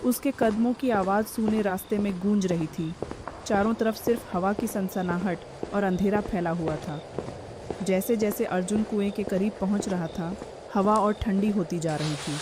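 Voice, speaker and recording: Female horror story narrator, medium pitch. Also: moderate pace, noisy background.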